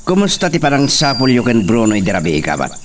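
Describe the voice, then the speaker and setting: A man's voice: mid-range voice, sing-song, fast, natural voice. A man with an Ilocano accent playing a hot-tempered, imperious young master of an estate in a radio drama. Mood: commanding. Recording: distant mic, noisy cricket ambience.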